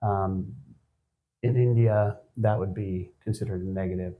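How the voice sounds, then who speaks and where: A man in his fifties, a guest on a business podcast, answering in a measured, reflective way with frequent pauses and restarts. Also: on the low side, steady tone, warm tone. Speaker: guest, managing partner of an accounting firm. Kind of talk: conversational podcast interview.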